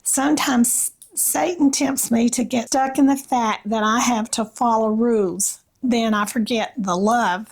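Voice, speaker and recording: A woman with a Southern US accent and a slightly raspy voice, chatting casually about faith in a gentle, halting way with pauses. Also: a little high, fairly even intonation. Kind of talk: casual devotional chat with read-aloud passages.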